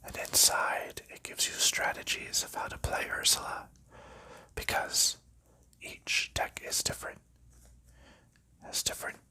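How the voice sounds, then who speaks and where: A man whispers through an ASMR board game walkthrough, taking his time over every rule and piece. His whisper is airy and very bright, with a sharp, crisp edge, and his pace is slow and drawn out. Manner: soft unvoiced whisper, unhurried explaining. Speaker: ASMR creator.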